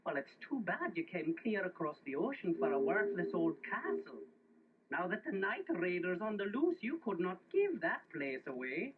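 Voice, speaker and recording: A man's voice: high-pitched, very animated, moderate pace, background noise. A man playing a cautious Scottish lawyer with a formal, theatrical, warning manner. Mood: polite, dismissive. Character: cautious, ominous Scottish village lawyer, male. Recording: muffled old story tape, clear music behind.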